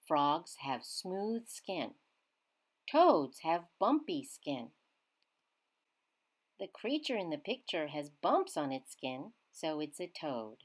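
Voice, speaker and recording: A woman reads a children's science book aloud at an unhurried pace. Her slightly low voice rises and falls in lively swoops. She is teacherly, giving key words clear emphasis. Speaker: children's read-aloud reader.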